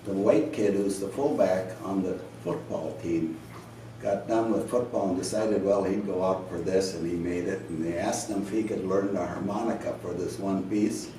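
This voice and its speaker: A man at a meetup shares an informal anecdote in a halting way. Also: sits low, warm tone. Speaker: meetup attendee sharing announcement. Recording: very echoey room, distant mic, clear noise.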